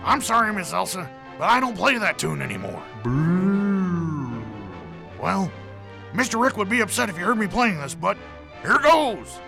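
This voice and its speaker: A man's voice: sits high, sing-song, a little slow, gravelly, pauses often, heavily acted. A man playing a reluctant, easygoing nightclub piano player in a radio film parody. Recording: music behind the voice.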